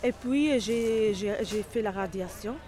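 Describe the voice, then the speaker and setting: A middle-aged woman, a native Arabic speaker, answering interview questions haltingly in non-native French, searching for words. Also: mid-range voice, mellow. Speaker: interviewee, home care worker.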